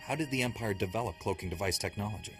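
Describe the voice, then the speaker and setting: An actor playing an earnest, questioning young hero of a Jedi order in a video game, speaking directly. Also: male voice, lowish voice, rapid-fire, soft background music, natural voice. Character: earnest, doubting male Jedi knight hero. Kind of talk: video game cutscene acting.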